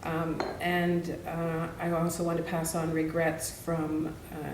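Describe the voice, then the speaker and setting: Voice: medium pitch, monotone, slow. A moderator chairing a roundtable in a courteous, organizing manner. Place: meeting room roundtable. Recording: distant mic, echoey room, hiss.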